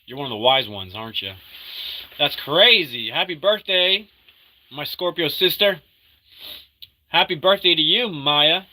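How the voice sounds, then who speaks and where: A man in his thirties, sick, chatting casually and halting often while reading viewers' comments. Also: a little high, lively intonation, bright, forceful tone, rough recording. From Argentina. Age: 30s. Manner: rambling, halting, full of fillers and restarts; upbeat when greeting viewers. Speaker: livestreamer reading chat. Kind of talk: casual live-stream chat.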